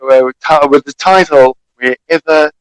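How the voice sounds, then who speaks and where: South African radio host, Afrikaans native, halting with fillers, fairly high voice, dry sound. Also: male voice, clean tone, no echo, poor audio. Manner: warm, hesitant, with many fillers. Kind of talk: interview over video call. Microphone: video call.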